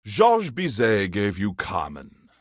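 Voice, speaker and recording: A man's voice: fairly low pitch, huge pitch swings, slow, no echo, clean studio sound, heavily acted. An actor playing a pompous alien emperor who hosts a classical radio station in a video game, speaking in grandiloquent, condescending, theatrical patter and savoring his words. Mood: pompous, lecturing.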